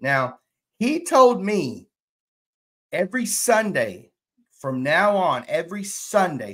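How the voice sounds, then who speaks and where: A man's voice: a little high, a bit bright. Man, thirties, drawl, casual live stream host, chatty, reads comments aloud, webcam in a small room. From Texas. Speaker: fishing channel host. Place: indoor room, plain wall.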